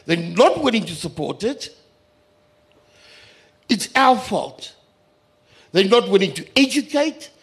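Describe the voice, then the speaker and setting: A South African man speaks on a panel in a high, widely swinging voice. He is indignant and emphatic, with a sarcastic edge. He repeats key phrases to drive them home. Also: sing-song, slow pace, pauses often, slightly rough. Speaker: community radio sector representative on a panel. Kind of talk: impassioned panel speech.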